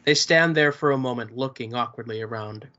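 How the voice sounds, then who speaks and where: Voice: mid-range voice, fairly quick, pushed and bright. A narrator reads stage directions at a table read of a play. The delivery is scripted narration, describing scenes and movements. The recording sounds a little muffled.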